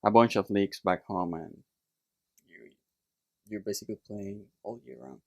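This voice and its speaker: A man from Puerto Rico with a Puerto Rican accent, a chiropractor guest telling his story in a casual interview, relaxed and plain with fillers. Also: fairly low pitch, normal speed, gravelly, dry recording. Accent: Puerto Rican Spanish.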